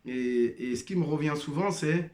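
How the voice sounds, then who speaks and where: A man's voice: fairly high pitch, normal speed, a little mellow, reverberant. A man giving a personal testimony monologue, hesitant with many fillers and restarts, addressing listeners as brothers and sisters. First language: not Arabic-speaking. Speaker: former member sharing testimony.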